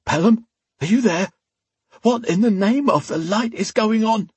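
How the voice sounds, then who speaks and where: A man voicing a gruff, crude hired thug in an audiobook, pained and growling through clenched teeth. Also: high voice, a little slow, a little mellow, dry recording, pitched above the performer's own voice, exaggerated voice. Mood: uncertain, panicked. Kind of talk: dramatic audiobook character voice.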